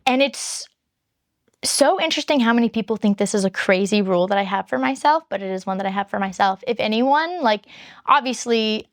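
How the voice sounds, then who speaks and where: A woman YouTuber with a high-pitched voice, chatting candidly to her viewers and rambling through long explanations. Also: animated, a little fast, no echo, clean studio sound. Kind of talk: casual chat to camera.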